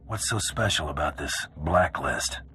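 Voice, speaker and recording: A seasoned bounty hunter with an extremely low, gruff voice. He is cocky and sardonic, delivering his game cutscene lines coolly over background music. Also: lively intonation, sharp tone, full character voice. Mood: measured. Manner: wry, cool, unhurried. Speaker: player character in a video game. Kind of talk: video game cutscene acting.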